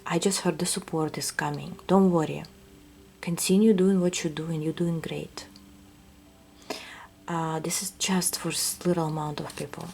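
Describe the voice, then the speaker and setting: A woman with a Russian accent, giving a soft, hesitant tarot reading that often drops to a whisper. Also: deep voice, fairly even intonation, normal speed, vocal fry, slight background noise. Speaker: tarot reader. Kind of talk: intuitive reading to viewers.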